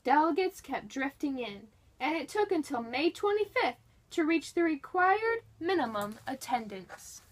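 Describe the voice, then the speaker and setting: A woman's voice: high voice, big pitch swings, unhurried. A woman reading a history book aloud in a dramatic, scripted narration style.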